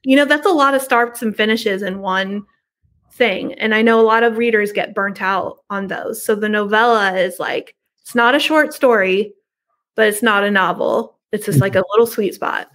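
A woman's voice: mid-range voice, normal speed, fairly bright tone. A middle-aged woman chatting casually and informally as a podcast guest on a video call from her home. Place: living room at home.

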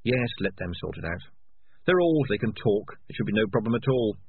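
A man's voice: lowish voice, sing-song, a little fast, gravelly, breathy edge, no room sound, full character voice. A man voicing a cunning, self-satisfied male cat who mutters dry asides to himself. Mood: scheming. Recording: tinny phone-like sound.

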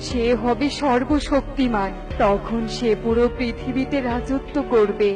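নারীকণ্ঠে গম্ভীর, বিচক্ষণ পাখি-সঙ্গী. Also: female voice, on the high side, a little slow, music in the background, voice pushed down, lightly acted. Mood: grave, explanatory. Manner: serious, explanatory. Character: wise, resolute bird companion explaining dangers to a friend. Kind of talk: Bengali children's cartoon dialogue.

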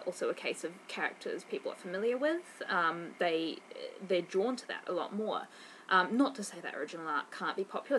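A woman's voice: lowish voice, fairly bright tone, slight background noise, poor audio. A young woman with a New Zealand accent, chatting casually to her webcam in her bedroom as she answers viewer questions, rambling with lots of ums and restarts. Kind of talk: casual chat. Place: bedroom, indoors. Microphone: webcam at desk.

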